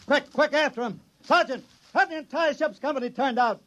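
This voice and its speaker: A man's voice: extremely high pitch, fairly quick, a bit bright. A man playing a bossy, blustering military inspector in a 1950s radio drama, barking indignantly. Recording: old radio recording with background noise.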